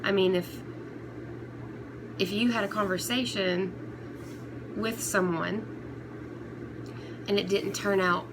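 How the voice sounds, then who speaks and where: A woman talking casually and unscripted to her viewers as an online commentary host, in a halting, rambling, conversational way. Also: medium pitch, fairly even intonation. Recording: clear background noise throughout.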